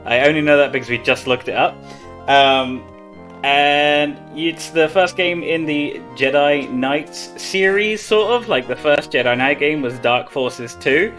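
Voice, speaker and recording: A man's voice: fairly high pitch, measured pace, fairly bright tone. Casual man commentating over game music. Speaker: speedrunner playing live at a gaming marathon.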